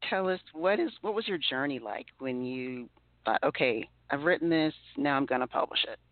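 Lively, upbeat interview host on a phone line. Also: medium pitch, no room sound. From Texas, born in New Orleans. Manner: enthusiastic, quick affirmations and laughs. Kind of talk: casual interview chat. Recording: dry, phone-like narrow sound.

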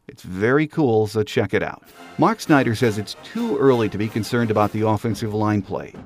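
A man's voice: on the low side, darkish tone. An American man speaking as a podcast host, delivering a scripted sports monologue.

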